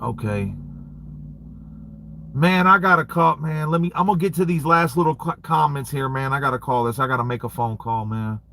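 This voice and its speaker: A man's voice: mid-range voice, moderate pace, a bit bright, slight background noise. Laid-back man chatting on a livestream. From United States. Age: 30s. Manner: laid-back street slang, frequent "man" and "you know". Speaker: dog breeder hosting a livestream. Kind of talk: casual livestream chat reading comments.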